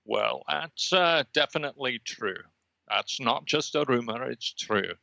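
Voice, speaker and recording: A man voicing an ominous, patient being of energy, speaking in a measured, solemn and portentous way on a comedy podcast. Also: mid-range voice, lively intonation, creaky, sharp tone, no room sound, muffled recording, heavily acted. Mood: matter-of-fact, ominous. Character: ominous, patient red-dot energy being, cryptic prophet.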